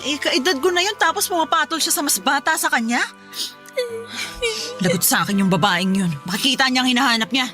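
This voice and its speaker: Feisty, protective elder-sister role for a woman, high-pitched, confrontational, radio drama over music and crowd bed. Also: fairly quick, lightly acted. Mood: indignant. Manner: scolding, confrontational. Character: feisty protective older sister, young girl, quick-tempered.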